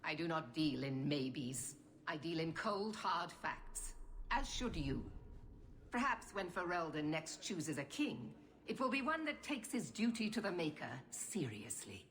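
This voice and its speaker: An actress playing a stern, unbending knight commander in a video game, cold and haughty in manner. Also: female voice, low-pitched, very animated, unhurried, a bit bright, exaggerated voice. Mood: stern, scornful. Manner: cold, haughty, clipped disapproval. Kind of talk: video game cutscene acting.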